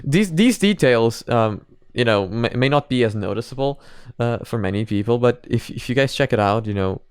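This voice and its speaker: A man's voice: medium pitch, animated, moderate pace, hardly any pauses, clean tone, no room sound, studio quality. A man giving casual reaction commentary as a video host, rambling and thinking aloud.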